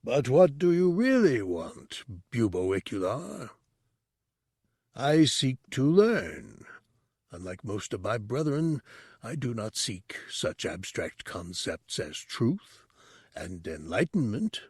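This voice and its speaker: A man voicing an ancient disembodied monk's brain, a deep, formal, serene teacher with a bemused manner. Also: sing-song, takes it slowly, darkish tone, husky, no room sound, clean studio sound, heavily acted. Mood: measured, scholarly.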